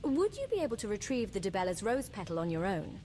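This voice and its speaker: A woman's voice: medium pitch, big pitch swings, fast talker, mellow, a little hiss or hum, lightly acted. A woman playing a guarded, emotionally wounded adventuring daughter in a fantasy game, formal and earnest in manner. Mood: sad, composed.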